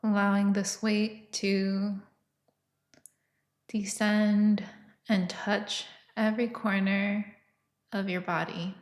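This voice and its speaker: A woman leading a guided meditation with soft, soothing, unhurried instruction and long pauses between phrases. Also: mid-range voice, little pitch movement, roomy sound.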